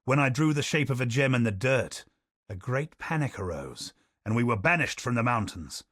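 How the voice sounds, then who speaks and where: An actor playing a solemn male chronicler of a doomed colony, in a grave, measured manner of narrating, recorded dry. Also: medium pitch, big pitch swings, a bit bright, no echo. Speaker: game character narrating lore. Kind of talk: scripted lore recitation.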